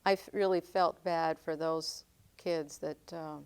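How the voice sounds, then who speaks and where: Older woman, French her first language, unhurried oral-history telling, lowish smooth voice. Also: fairly even intonation, darkish tone. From northern Maine, United States. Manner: unhurried, reflective, frequent ums. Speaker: interviewee.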